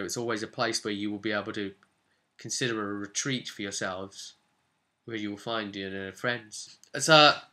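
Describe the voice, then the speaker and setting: A man's voice: mid-range voice, sharp tone. A man informally retelling a tabletop role-playing session as its game master, slipping characters' remarks into the story. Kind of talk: informal storytelling recap to camera.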